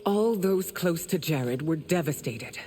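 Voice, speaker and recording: A woman's voice: lowish voice, animated, measured pace, very noisy. A woman playing a proud, imperious, mocking warrior queen in a video game, speaking in a haughty, commanding, theatrical way. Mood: stern, indignant. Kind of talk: video game character dialogue.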